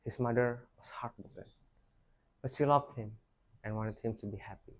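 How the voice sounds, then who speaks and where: A man's voice: mid-range voice, moderate pace, poor audio. A young man tells a rehearsed folktale dramatically, in emphatic, declaimed phrases. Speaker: student storyteller.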